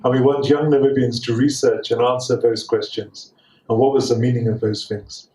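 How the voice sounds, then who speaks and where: A man's voice: mid-range voice, fairly even intonation, moderate pace, warm tone, slight background noise. A man from Wales giving thoughtful panel remarks, often repeating words as he hesitates. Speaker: academic co-author speaking at a book event. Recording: echoey room, distant mic.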